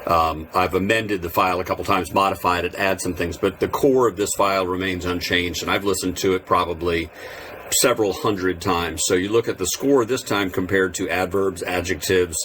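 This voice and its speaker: A man speaks in a low register as he casually explains things to viewers. His manner is plain and self-deprecating, like a hobbyist sharing his own take. A light, steady noise sits behind his voice. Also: normal speed. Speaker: Morse code hobbyist and video host.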